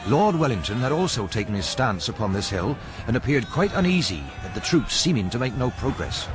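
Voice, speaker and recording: An actor playing an earnest army quartermaster, giving a formal, precise eyewitness report of battle in a radio drama. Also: male voice, mid-range voice, big pitch swings, a little fast, over music, lightly acted. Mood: earnest, vivid. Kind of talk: dramatic radio monologue, memoir testimony. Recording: noisy radio drama recording.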